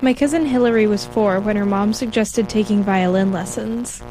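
A woman reporter reading scripted narration in a clear, measured storytelling manner. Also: medium pitch, noisy background. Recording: music bed underneath.

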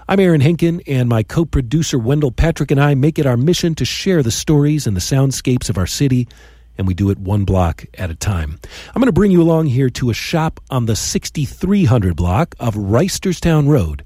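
A man hosting a podcast, narrating a scripted introduction with a lively storytelling delivery. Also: medium pitch, normal speed, breathy edge, no echo, clean studio sound. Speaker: podcast host.

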